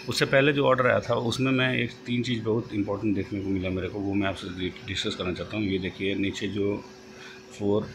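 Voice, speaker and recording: Low-voiced man, noisy recording. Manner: halting, repeats and rephrases, mixes English terms into speech. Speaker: host of a job-news channel. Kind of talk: informal explanatory update, reading from a document. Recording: noisy home-made voice-over, background noise.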